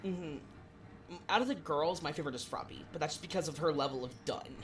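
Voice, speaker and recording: A woman in her twenties co-hosting a podcast, chatting casually with animated, swearing asides. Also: deep voice, brisk, a little hiss or hum. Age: 20s. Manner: animated, swearing, self-deprecating. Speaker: podcast co-host. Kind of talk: casual chat.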